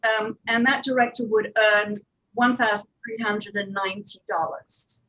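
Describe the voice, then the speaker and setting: A woman speaking as a producer on a panel, explaining things step by step with hesitant pauses. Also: medium pitch, fairly even intonation, pushed and bright, slight background noise, rough recording. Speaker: audio drama producer and director, panelist.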